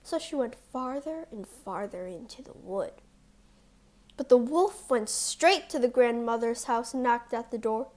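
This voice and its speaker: A woman with a high-pitched voice tells a children's fairy tale. She reads very slowly and deliberately, in the style of a scripted storybook narration. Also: a little mellow.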